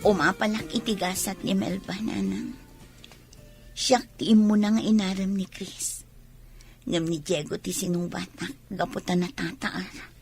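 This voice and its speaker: A woman's voice: mid-range voice, fairly even intonation, a little slow, vocal fry, darkish tone, soft background music. A woman with an Ilocano accent acting an ambitious, envious and regretful young pregnant village woman in a radio drama.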